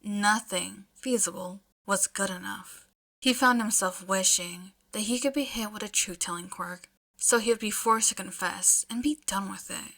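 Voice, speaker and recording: A woman reading fiction aloud as an expressive audiobook-style narrator. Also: mid-range voice, moderate pace. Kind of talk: expressive storytelling narration with casual asides.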